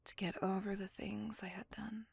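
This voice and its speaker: A woman voicing a gentle, remorseful immortal vampire in an ASMR roleplay, speaking tenderly and intimately in a breathy whisper. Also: mid-range voice, little pitch movement, no room sound. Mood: remorseful, confessing. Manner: slow, tender, earnest confiding.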